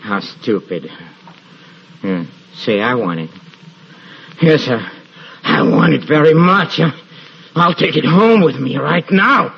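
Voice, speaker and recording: An actor playing a drunken, haunted husband in old-time radio horror, muttering haltingly and repeating himself. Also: male voice, a little high, lively intonation, creaky, exaggerated voice. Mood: scornful, eager. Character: drunken, haunted husband, brooding and evasive about a cat. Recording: dull, hissy old radio recording.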